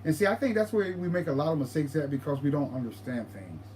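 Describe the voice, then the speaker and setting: A man co-hosting a paranormal livestream, talking casually, halting and repeating words as he explains his ideas. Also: a little high, animated, a little mellow, a little hiss or hum, rough recording.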